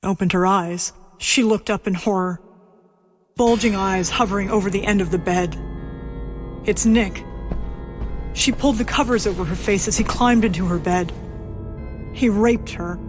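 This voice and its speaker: Measured female audio drama narrator. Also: mid-range voice, music in the background.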